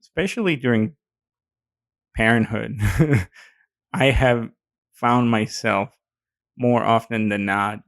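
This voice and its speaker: A man's voice: fairly low pitch, slow, long pauses, no room sound. A man with a Mexican accent co-hosting a podcast, talking casually with many fillers and hesitations. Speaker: podcast co-host. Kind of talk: casual chat.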